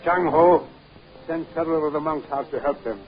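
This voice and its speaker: A man's voice: on the high side, normal speed, close to an everyday voice. An actor playing a fatherly, solemn head monk who makes grave pronouncements, in a 1930s film serial. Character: solemn, fatherly monastery head speaking in proverbs. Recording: dull 1930s film soundtrack, faint music.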